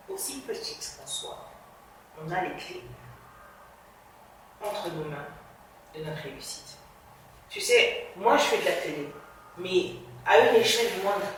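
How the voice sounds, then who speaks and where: Female journalist guest, earnest and reflective, phrases fade softly at the end, very echoey room, mic set back from her. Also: on the low side, a little hiss or hum. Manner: earnest, reflective, drops to a soft level at phrase ends. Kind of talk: motivational interview talk. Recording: reverberant room, distant mic.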